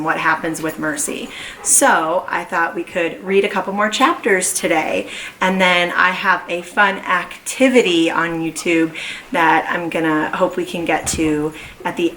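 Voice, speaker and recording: A woman who teaches kindergarten, reading a children's book aloud at home in an animated, expressive way. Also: on the low side, a little hiss or hum, poor audio. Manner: animated, slow and expressive storytelling.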